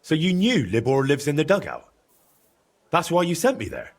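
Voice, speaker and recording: An actor playing an earnest young medieval adventurer in a video game, direct and questioning, with a slightly husky voice. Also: male voice, fairly high pitch, huge pitch swings, moderate pace, exaggerated voice. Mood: concerned, questioning. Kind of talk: video game dramatic dialogue.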